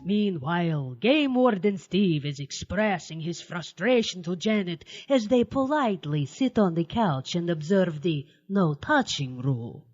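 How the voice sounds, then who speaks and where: A man's voice: high-pitched, expressive, unhurried, few pauses, a little mellow, no room sound. A man reading scripted narration for a campy radio drama. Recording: dull lo-fi, music underneath.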